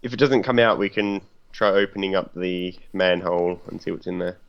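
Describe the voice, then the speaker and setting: Man, slightly low voice, casual tabletop player, dull sound, chatter behind. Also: a little fast, creaky. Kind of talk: casual chat.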